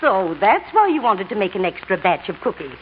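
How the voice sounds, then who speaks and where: A woman's voice: medium pitch, very animated, fairly quick, close to an everyday voice. An actress playing a cheerful, indulgent middle-aged mother in a 1940s radio advert. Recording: tinny, faintly noisy old radio.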